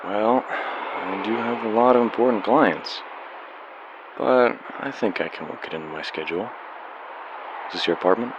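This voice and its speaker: A man's voice: on the low side, moderate pace, highs cut off, natural voice. A man playing an earnest, lovestruck young painter in a radio play, plain-spoken and sincere. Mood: dryly playful. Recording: faint background hiss.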